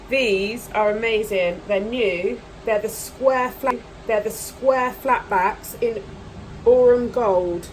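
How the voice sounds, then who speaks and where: A woman talks through an unboxing in a casual, gushing way, sniffling now and then from hay fever. Her voice sits at an ordinary pitch for a woman. A faint hiss of background noise runs under the recording. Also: poor audio. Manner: chatty, gushing over products. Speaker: nail vlogger. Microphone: camera mic, voice off camera.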